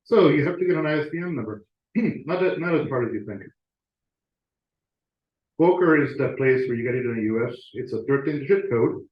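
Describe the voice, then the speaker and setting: A man's voice: medium pitch, expressive, fairly quick. Man presenting casually in a roomy hall. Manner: conversational with frequent fillers and restarts. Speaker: club presenter. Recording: roomy, dull lo-fi sound.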